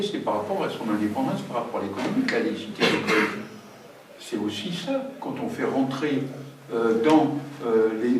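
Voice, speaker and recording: Man, meeting participant, halting delivery; distant mic, room echo and noise. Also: mid-range voice. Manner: hesitant, restarts often. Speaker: audience member in discussion. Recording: roomy hall, distant mic, noisy.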